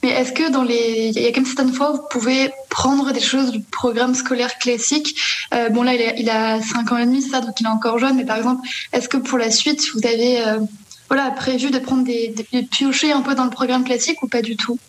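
A woman's voice: a little high, flat delivery, a little fast, slight background noise. A woman interviewer asking conversational questions politely, with formal address and tentative phrasing.

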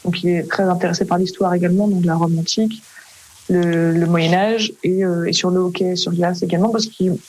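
A woman's voice: on the low side, steady tone, a little fast, darkish tone, rough recording. A French woman being interviewed as a parent, explaining casually in colloquial speech that often trails off into tag words.

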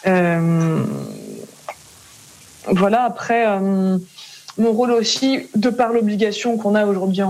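A French woman speaks with a noticeably creaky, gravelly edge at a middling pitch. She explains things casually as an interviewed parent. Her phrasing is colloquial and often tails off into little tag words. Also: darkish tone, low-quality recording. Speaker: homeschooling parent being interviewed.

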